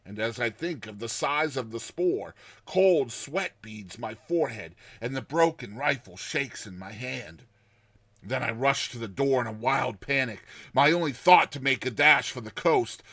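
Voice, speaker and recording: A man voicing a terrified man telling his nightmare in a dramatized story, with tense pauses, hushed passages and explosive outbursts. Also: mid-range voice, animated, husky, no echo, heavily acted. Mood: dread. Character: terrified man recounting his nightmare of a lurking giant serpent.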